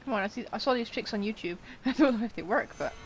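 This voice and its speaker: A woman's voice: fairly high pitch, fast, soft background music, poor audio. A woman livestreamer giving casual gameplay commentary, playfully muttering to herself. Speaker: game livestreamer.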